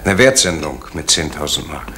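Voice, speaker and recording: A man's voice: a bass voice, sing-song, normal speed, bright, forceful tone, slight background noise, heavily acted. An actor playing a strict middle-aged male postal official and father, stern and commanding, in 1970s radio drama style. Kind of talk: 1970s East German radio drama acting.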